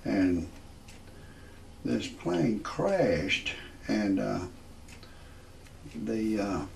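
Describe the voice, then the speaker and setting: A man in his sixties hosting a parody show, rambling through a comedic monologue in a halting, repetitive, grumbling way with a gravelly voice. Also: on the low side, big pitch swings, a little hiss or hum. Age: 60s. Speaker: host of a parody report show.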